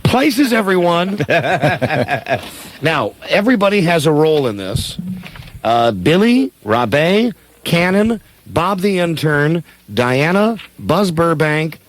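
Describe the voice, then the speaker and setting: Lively male radio host with swooping pitch. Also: slow pace. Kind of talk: casual live radio banter.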